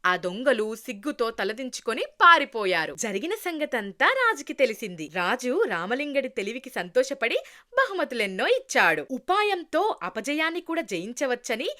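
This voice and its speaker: Female storyteller for children's tales, high voice, very lively melodic delivery. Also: normal speed. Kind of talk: scripted narration of a children's moral tale.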